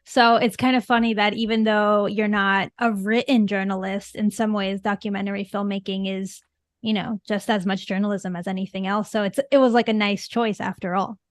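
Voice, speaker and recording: A woman's voice: mid-range voice, flat delivery, moderate pace, dry recording. A woman hosting a live stream, welcoming viewers and reading out chat names with an enthusiastic manner. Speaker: livestream host. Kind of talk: upbeat livestream hosting.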